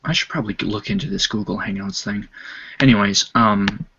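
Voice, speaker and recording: A man chatting on an online voice call, laying out his opinions in a measured way and at length. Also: lowish voice, vocal fry, a crowd in the background. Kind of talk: casual online voice chat. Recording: lo-fi call audio.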